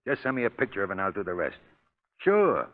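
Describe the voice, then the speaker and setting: Through a thin, tinny 1930s radio recording comes a shifty male carnival crook. He blusters in street slang, and his pitch jumps about widely. He is cowardly underneath and very easily rattled. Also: wildly swooping pitch, fast, a little hiss or hum. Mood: businesslike. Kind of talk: 1930s radio drama acting.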